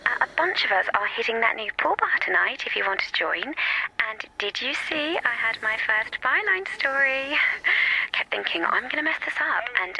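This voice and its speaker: Bright, bubbly friend on voicemail. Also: female voice, fairly high pitch, very animated, normal speed, vocal fry, slight background noise, heavily acted, low-quality recording. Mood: breezy, affectionate. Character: bubbly, chatty female friend leaving voicemail. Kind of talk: radio play acting, casual voicemail.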